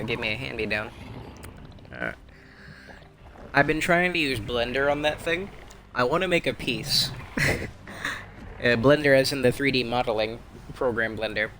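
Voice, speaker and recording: A man's voice: medium pitch, moderate pace, long pauses, pushed and bright, slight background noise. A young man chatting casually over his own gameplay, rambling and wry, poking fun at himself and at other players. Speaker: game streamer.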